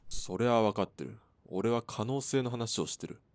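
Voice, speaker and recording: A man's voice: mid-range voice, moderate pace, darkish tone, no room sound, lightly acted. A man playing a laconic, brooding sharpshooter in a Japanese radio drama, with a laid-back, terse and evasive manner. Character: laconic, brooding male sharpshooter, nonchalant smoker.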